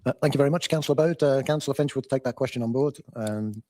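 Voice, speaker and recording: Mellow man chairing a council meeting. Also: mid-range voice, fast, dry recording. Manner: brief, formal, hesitant.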